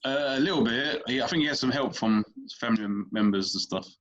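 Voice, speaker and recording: A man's voice: mid-range voice, fairly quick, fairly bright tone. Rambling man with a slightly dull sound. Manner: rambling, repeats words, talks in loose stories. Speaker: comedian guest. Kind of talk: casual podcast chat.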